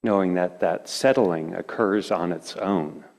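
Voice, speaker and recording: A man leading a guided meditation as a teacher, speaking in gentle, slow phrases with long pauses. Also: sits low. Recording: reverberant hall.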